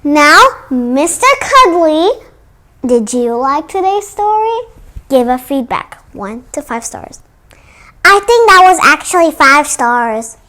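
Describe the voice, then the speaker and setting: A young female child presents a read-aloud for other kids. Her voice is high and her pace is slow and unhurried. She tells the story as a storytime host would. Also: very animated, pushed and bright.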